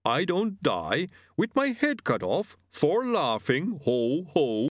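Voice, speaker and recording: A man voicing a huge, good-natured male yeti who talks in an unbothered way with a booming chuckle. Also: sing-song, measured pace, a bit bright, no room sound, clean studio sound. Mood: placid.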